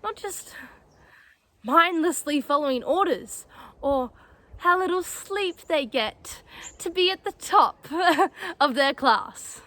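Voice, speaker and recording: A young woman playing a defiant, grieving female space fleet cadet in an emotional, bitter dramatic monologue, pausing and stressing her words. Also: high voice, expressive, sharp tone, no room sound, full character voice. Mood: indignant.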